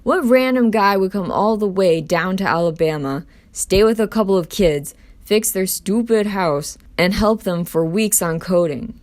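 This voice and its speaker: A woman's voice: mid-range voice, a little slow, darkish tone, pitched above the performer's own voice, natural voice. A woman reading the part of a loyal, defiant sixteen-year-old boy who protests earnestly in a scripted scene. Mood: pleading, insistent. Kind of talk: scripted scene table read.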